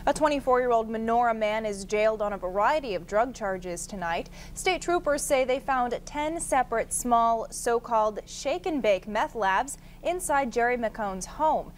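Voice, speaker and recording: A woman's voice: a little high, animated, moderate pace, hardly any pauses, slight background noise. An American woman reading scripted news as a television anchor.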